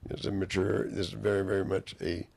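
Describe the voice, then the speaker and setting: A hoarse man in a rambling monologue, drifting between half-finished sentences and mock-courtroom phrasing. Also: deep voice, little pitch movement, fairly quick, darkish tone.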